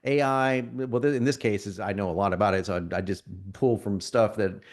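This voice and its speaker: Sniffly man giving a casual software demo. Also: fairly low pitch, brisk. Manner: conversational, salesy, frequent restarts and stumbles. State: getting over a cold, sniffly. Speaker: marketing workshop presenter. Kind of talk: live software demo to an audience.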